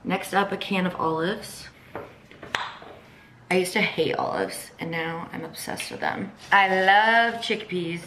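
A woman's voice: on the low side, unhurried, fairly bright tone, roomy sound, a little hiss or hum, low-quality recording. A young woman, chatting casually to the camera in a vlog, upbeat and full of "like" and "y'all". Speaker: vlogger. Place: home kitchen and apartment balcony.

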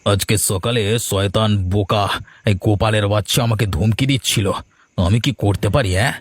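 A man's voice: lowish voice, fairly even intonation, normal speed, husky, no room sound, faint music behind. A man playing a spiteful, taunting village bully in a fairy-tale cartoon, menacing and gloating.

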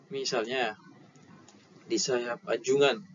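Young Indonesian male instructor, unhurried and halting, explaining slides informally on dull headset audio. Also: mid-range voice, fairly even intonation. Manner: halting, explanatory, repeats key terms. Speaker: maritime safety instructor. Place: indoor room. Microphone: headset at a computer. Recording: dull lo-fi audio with clear background noise.

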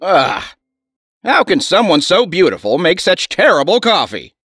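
An actor playing a picky husband with an exaggerated comic delivery in a vintage radio advertisement. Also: male voice, high voice, sing-song, unhurried. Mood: grumbling, disgusted. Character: picky, grumbling husband in an old radio commercial.

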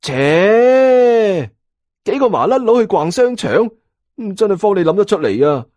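A man with a Hong Kong Cantonese accent voicing a brash, excitable, mouthy young sidekick in an audio story. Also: high-pitched, measured pace, no echo, clean studio sound, pitched above the performer's own voice. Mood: scornful.

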